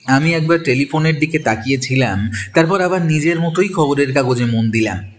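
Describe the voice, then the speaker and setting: A man's voice: on the high side, moderate pace, a little hiss or hum, natural voice. A man playing a detective's curious companion, telling the story in the first person in an audio drama. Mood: measured narration. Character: detective's male companion narrating, curious and sceptical.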